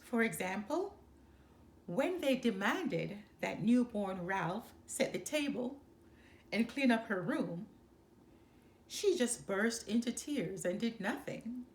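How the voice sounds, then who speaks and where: A woman storyteller reads a picture book with very lively, swooping intonation. Her voice sits fairly high and she takes her time over each phrase. The room adds a clear echo. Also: takes it slowly. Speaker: storyteller reading aloud. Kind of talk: expressive children's picture book read-aloud. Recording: roomy, echoing sound.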